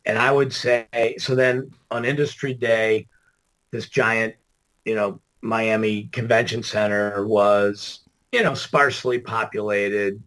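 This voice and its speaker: Man, middle-aged, hoarse, gravelly, tired, lowish voice, rambling casual guest chat. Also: steady tone, slow pace, a bit bright, low-quality recording. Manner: rambling, laughs often, many fillers. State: hoarse, tired after an all-nighter.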